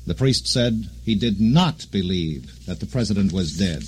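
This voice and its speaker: Low-pitched male radio news anchor. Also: lively intonation, measured pace. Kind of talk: live breaking news bulletin. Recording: 1960s shortwave radio news broadcast.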